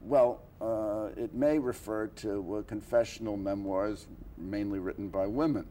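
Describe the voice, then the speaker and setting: An older man hosting an interview in a formal talk-show style, halting and deliberate, pausing often between phrases. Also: on the low side, fairly even intonation, warm tone, a little hiss or hum. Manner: halting, deliberate, frequent pauses. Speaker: interview host.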